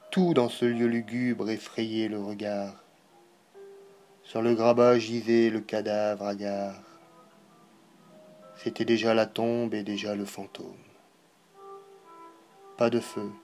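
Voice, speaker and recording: A man recites verse in a grave, declamatory way over faint music. His delivery is slow and deliberate, broken by pauses, and his pitch stays within a fairly narrow range. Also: a little mellow. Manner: solemn, declamatory, measured phrasing with pauses. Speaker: poetry reciter. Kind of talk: dramatic poetry recitation.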